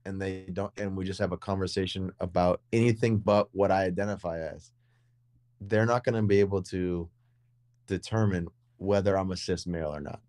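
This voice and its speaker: Deep-voiced young man rambling in chat. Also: steady tone, moderate pace, darkish tone, no echo. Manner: rambling, repeats words and restarts often. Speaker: podcast co-host. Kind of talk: casual conversation.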